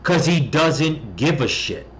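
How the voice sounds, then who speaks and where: A man's voice: a little high, lively intonation, slow pace, a bit bright. An American man giving casual reaction commentary in a blunt, emphatic way. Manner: blunt, emphatic, mildly profane. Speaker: video commentator.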